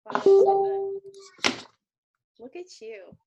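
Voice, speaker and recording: A woman interviewer in a casual chat, praising enthusiastically before halting into a question. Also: extremely high pitch, lively intonation, dull audio, noisy background.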